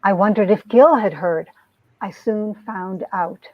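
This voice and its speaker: A woman's voice: mid-range voice, measured pace, dry recording, natural voice. A woman playing an earnest young heroine telling her troubled story in a theatrical, emotive romance-comic reading. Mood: anguished. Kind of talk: dramatic comic-book reading, melodramatic first-person narration. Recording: dull, lo-fi recording.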